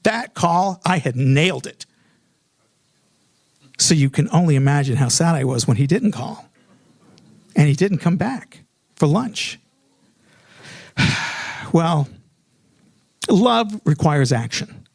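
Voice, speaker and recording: A man's voice: a little high, expressive, slow pace, creaky, pauses often, a little mellow. A middle-aged American man telling a personal story in a comedic, self-mocking way, animatedly acting out remembered dialogue.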